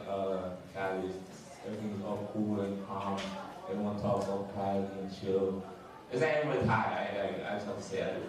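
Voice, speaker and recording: A young man from Ghana with a Ghanaian accent performing a stand-up comedy set, loose and laughing, jumping into quick impressions of other people. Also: fairly low pitch, background noise. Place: comedy club stage, room echoing. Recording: echoey club from far off.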